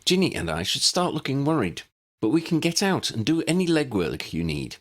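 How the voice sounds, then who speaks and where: A man voicing a sensible, earnest young woman with a brisk, reasoning manner in a storybook. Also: medium pitch, wildly swooping pitch, voice pushed up. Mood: firm agreement.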